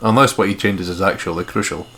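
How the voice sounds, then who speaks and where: A man's voice: deep voice, fast talker, fairly bright tone. A man co-hosting a let's play, mixing casual, sweary, joking banter with reading the game's text aloud.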